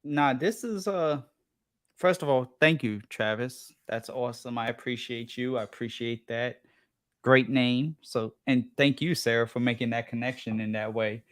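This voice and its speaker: A man's voice: medium pitch, normal speed, fairly bright tone, no room sound. Easygoing male stream host of Persian background, upbeat and casual, dry close mic. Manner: laid-back, upbeat, says "hell yeah" often. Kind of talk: casual live stream hosting.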